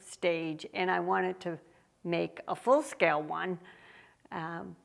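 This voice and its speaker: A woman artist explains her work on a tour of a gallery, taking her time. Her speech is slow with many ums and pauses, and her voice has a gravelly fry. She sounds relaxed and explanatory. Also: fairly low pitch. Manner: unhurried, with frequent ums and pauses. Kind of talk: casual explanatory walk-through. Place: art gallery, indoors.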